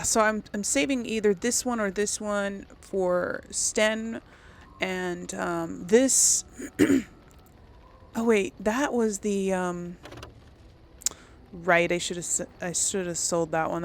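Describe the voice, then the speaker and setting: Female let's play host, creaky voice, casual thinking-aloud delivery, faint noise behind. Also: fairly low pitch, a bit bright. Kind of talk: casual gameplay commentary, talking to viewers.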